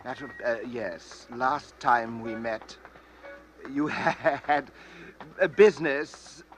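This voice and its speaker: An actor playing a nervous, genteel, suspicious bachelor in an old-time radio drama, hesitant and stammering but polite. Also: male voice, mid-range voice, takes it slowly, faint music behind, natural voice. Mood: uneasy. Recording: faintly noisy old radio recording.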